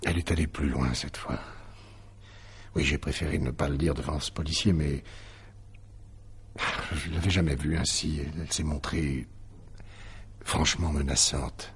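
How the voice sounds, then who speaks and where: An actor playing a defensive, evasive middle-aged husband in a French radio mystery drama, hesitant and self-justifying. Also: male voice, extremely low pitch, fairly even intonation, normal speed, pauses often, breathy edge, full character voice. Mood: troubled, confiding. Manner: hesitant, self-justifying, emphatic when challenged. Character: evasive, defensive husband suspected in his wife's disappearance.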